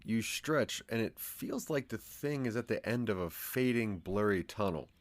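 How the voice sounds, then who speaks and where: Male narrator, fairly deep; suspenseful, measured storytelling for audio drama. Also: expressive, no echo.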